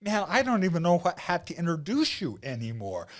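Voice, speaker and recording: A man's voice: high voice, wildly swooping pitch, a little fast. A man hosting an interview, affirming his guest and reflecting thoughtfully on what he hears. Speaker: interview host. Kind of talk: conversational interview.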